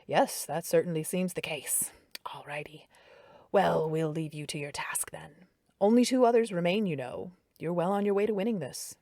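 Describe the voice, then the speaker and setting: A woman voicing a calm older male doctor who speaks low and soothingly to keep a tense man at ease. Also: fast talker, hardly any pauses, no echo, clean studio sound.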